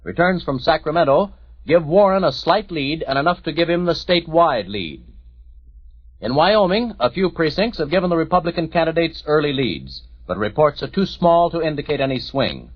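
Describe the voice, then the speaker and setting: A man reporting election news on 1940s radio, in a measured, matter-of-fact delivery. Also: a little high, very animated, husky, no room sound. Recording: dry, muffled 1940s radio recording.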